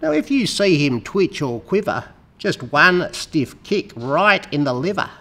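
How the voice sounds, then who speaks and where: A man's voice: fairly high pitch, very animated, a little slow, fairly bright tone. A man giving a dramatic, emphatic recitation of rhyming poetry. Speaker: poetry reader.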